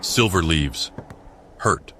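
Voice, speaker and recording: A man's voice: extremely low pitch, animated, drawn-out words. A man narrating an audio drama in a scripted, dramatic storytelling style. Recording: music behind the narration.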